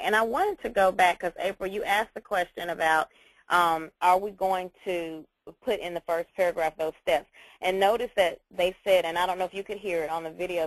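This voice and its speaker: A woman's voice: lowish voice, no echo, rough recording. A woman teacher explaining things informally on a class call, reassuring and repeating her key points. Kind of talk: informal spoken instruction.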